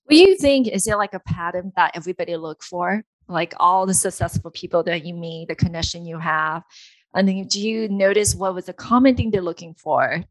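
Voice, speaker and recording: A woman's voice: lowish voice, a little fast, rough recording. A woman hosting a casual interview, effusive in manner, with non-native English phrasing. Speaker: interviewer, host.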